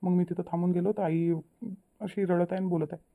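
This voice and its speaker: A woman's voice: fairly low pitch, level tone, a little fast, natural voice. A woman voicing an apologetic young newlywed son in a cartoon family drama, pleading and explaining himself in a Vidarbha Marathi accent. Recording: tinny phone-like narrow audio.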